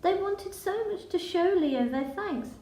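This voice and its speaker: A woman reading a children's picture book in verse with a measured, dramatic storytelling delivery. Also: extremely high pitch, lively intonation, a little mellow. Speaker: storybook reader. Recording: echoey room.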